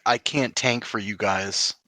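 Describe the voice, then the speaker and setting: A man's voice: on the low side, fairly even intonation, unhurried, pushed and bright, dry recording. A man chatting casually and sweary as a player in a tabletop roleplaying game.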